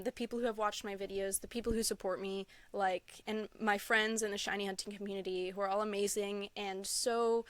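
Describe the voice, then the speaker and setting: A woman's voice: mid-range voice, no room sound. A woman guest on a podcast chatting casually, gushing and laughing often, with lots of "like" and "um". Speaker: podcast guest, content creator. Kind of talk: casual interview chat.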